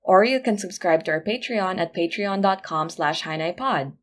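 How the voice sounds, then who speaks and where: A woman's voice: on the low side, animated, normal speed. A woman podcast producer reading a scripted outro with credits and announcements.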